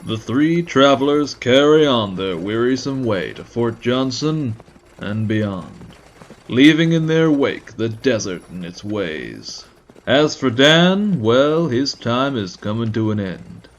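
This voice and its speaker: A man narrating a western story in a measured, folksy scripted style. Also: mid-range voice, sing-song. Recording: slightly dull recording.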